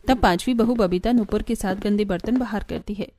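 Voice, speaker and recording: A woman's voice: mid-range voice, a little fast, warm tone, dry recording. A woman reading scripted story narration for a family cartoon.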